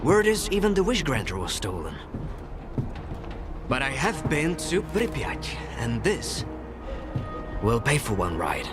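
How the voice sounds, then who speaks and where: An actor playing a carefree, philosophical drifter in a video game cutscene, musing in a familiar, wistful way. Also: male voice, medium pitch, wildly swooping pitch, measured pace, pauses often, loud music behind the voice. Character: wistful, carefree male drifter devoted to the Zone.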